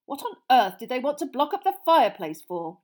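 Storybook role: woman as amiable middle-aged wizard father, flustered and eager, lifted pitch, animated delivery. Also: fairly quick. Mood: curious, excited. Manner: vague, excitable, apologetic.

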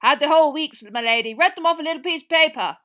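A woman puts on a gallant, foolish knight from a storybook, loud and pitched up. He blusters proudly, with a bright and hearty delivery. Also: fast.

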